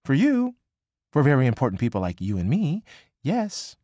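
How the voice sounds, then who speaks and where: Audiobook: man doing a high, swooping, pushy young woman, close and dry. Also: wildly swooping pitch, mellow, no room sound. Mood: breezy. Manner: quick, emphatic, swearing freely. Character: brash, excitable young woman, pushy and gossipy. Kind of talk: audiobook character voice.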